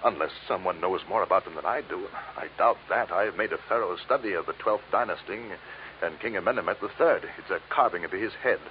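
Man acting anxious, low-voiced archaeologist in radio drama. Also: a little fast, lightly acted. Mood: nervous, hesitant. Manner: hesitant, stammering, polite. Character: anxious, educated male archaeologist, evasive and desperate. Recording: dull, noisy old radio recording.

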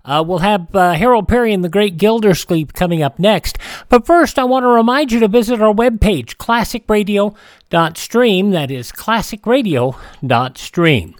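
A man hosting a classic radio program, presenting informally in a halting, measured manner. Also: high-pitched, animated, dry recording.

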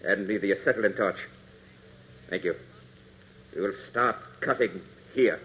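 A man's voice: lowish voice, measured pace, a bit bright, rough recording. A man playing a stern, impatient villainous gang boss in a 1940s radio serial, curt and commanding. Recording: hissy 1940s radio transcription.

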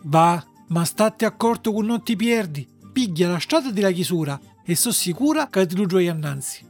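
Un uomo con accento del sud Italia che interpreta una fata calma, severa ma affettuosa, dal tono misurato, in una fiaba. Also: male voice, high voice, moderate pace, faint music behind. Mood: tender. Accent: southern Italian. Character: calm, stern but loving female fairy.

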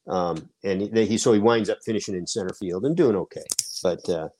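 A man's voice: low voice, fairly quick, mellow, a little hiss or hum. A middle-aged man from Seattle, a baseball writer on a sports talk podcast, making his case emphatically and at length. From Seattle, USA. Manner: emphatic, argues a point at length.

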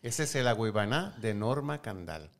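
Lively-voiced male interview host. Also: fairly low pitch, moderate pace. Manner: chimes in with short affirmations. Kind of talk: conversational interview.

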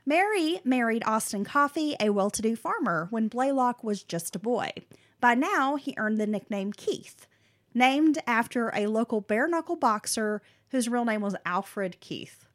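A woman's voice: on the high side, a little slow. A woman co-hosting a podcast, reading a prepared history story with casual, slangy asides. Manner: animated, drops into slangy asides. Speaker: podcast co-host telling the story.

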